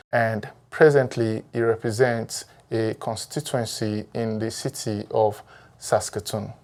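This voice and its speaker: A man hosting a documentary series, reading a scripted introduction in a steady manner. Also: mid-range voice, vocal fry. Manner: steady, read-aloud introduction. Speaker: documentary host. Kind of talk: scripted narration.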